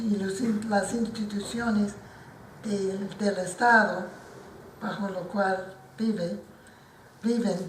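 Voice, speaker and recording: A non-native older woman giving formal comments at a book presentation, speaking slowly and carefully and often restarting her words. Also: medium pitch, slight background noise. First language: not Spanish. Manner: slow and careful, with frequent restarts. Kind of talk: formal talk at a book launch. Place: lecture hall at an institute. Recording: roomy hall picked up from a distance.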